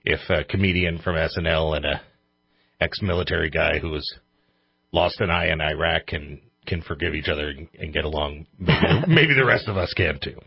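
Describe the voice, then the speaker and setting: A man with a husky voice hosting a radio show in casual banter. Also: extremely low pitch, normal speed, no echo, lo-fi sound.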